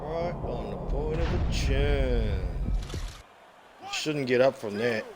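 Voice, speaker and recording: A man reacts casually as he plays a game, blunt and sweary. His voice is mid-pitched and competes with heavy background game noise. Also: slow pace. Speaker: gameplay streamer. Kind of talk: casual gameplay reaction, trash talk. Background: boxing game audio.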